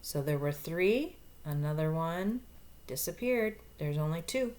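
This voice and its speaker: Female storybook reader for young kids: lowish pitch, very unhurried, expressive asides and questions, noisy recording. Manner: expressive, explains and asks questions. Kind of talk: read-aloud to young children with asides.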